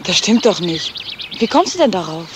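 A woman's voice: on the low side, very animated, normal speed. An actress playing a charming young woman, a touchy girlfriend, in a 1970s German radio crime drama. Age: young adult. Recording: echoey, very noisy old recording.

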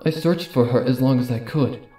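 A man voicing a regal, sorrowful female pony ruler in a halting, grave manner for a story. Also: mid-range voice, echoey room, natural voice. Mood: sorrowful, apologetic. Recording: music behind the voice.

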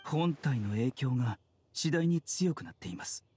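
Calm, courteous doctor over music. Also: male voice, medium pitch, breathy edge, dry recording. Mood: concerned. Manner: polite, measured, formal speech. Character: calm, courteous young male doctor, gravely concerned. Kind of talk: Japanese video game voice acting. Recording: studio voice over game music. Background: background music.

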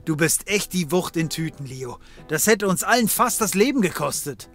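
Sardonic detective, slightly high voice, flippant, music behind, comedy radio play. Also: male voice, animated, moderate pace, fairly bright tone. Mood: amused. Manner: flippant, casual. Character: cheeky, sardonic male occult private detective. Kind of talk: comedy audio drama acting.

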